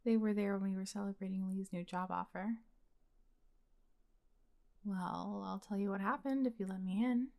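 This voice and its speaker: A young woman acting as a blunt, secretly smitten best friend in an intimate, conversational ASMR roleplay, with a casual, expressive delivery. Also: mid-range voice, moderate pace, creaky, long pauses, close to an everyday voice. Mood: exasperated, casual. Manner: casual, expressive, sighing, sweary.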